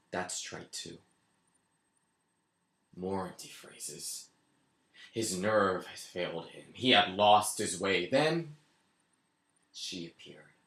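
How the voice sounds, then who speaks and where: A man's voice: sits low, a bit bright, full character voice. An actor playing a weary, guilt-ridden married man who talks in a brooding, bitter way and breaks into anguished outbursts. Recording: echoey room.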